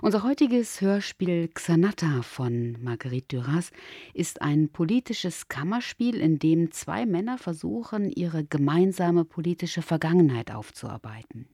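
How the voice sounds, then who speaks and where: A woman with a low, husky voice presenting a radio drama with a scripted introduction. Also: a little mellow, no echo, studio quality.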